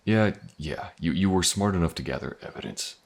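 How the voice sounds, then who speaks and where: A man playing a gruff, guarded but protective mercenary in a fantasy audio roleplay, halting and dry in his delivery. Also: expressive, exaggerated voice. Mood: gruff, hesitant. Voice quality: very deep gravelly husky.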